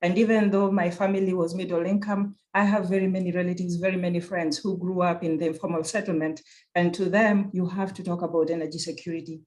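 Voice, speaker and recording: An earnest speaker with a Kenyan accent presents at an online conference in a mid-range voice. The delivery is reflective and unhurried, often circling back to rephrase a point. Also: mid-range voice, flat delivery, darkish tone, rough recording. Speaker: conference speaker, university program director.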